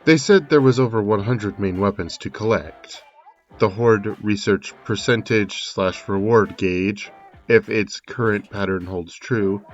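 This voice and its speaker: A man's voice: on the low side, highs cut off. A man narrating a scripted video game analysis, with conversational asides thrown in. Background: music.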